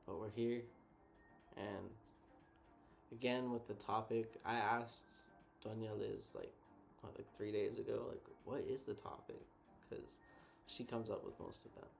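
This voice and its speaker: A man co-hosting a casual reflective chat, thinking out loud in a laid-back, slangy way. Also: fairly low pitch, fairly even intonation, measured pace, creaky, pauses often, soft background music. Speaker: co-host.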